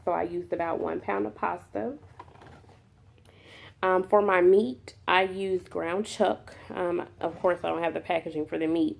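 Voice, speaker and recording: A woman talks to her camera in a soft, unhurried way, filling pauses with ums. A cold leaves her a little nasal. Her voice sits fairly low with a gravelly fry. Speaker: mukbang vlogger. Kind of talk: casual talk to camera.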